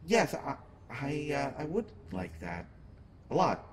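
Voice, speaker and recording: A man playing a flirtatious, teasing superhero who is secretly smitten, in a halting, playful conversational way for a roleplay audio drama. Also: on the high side, sing-song, noisy background. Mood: flustered, shy. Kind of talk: roleplay audio drama, acted monologue to listener.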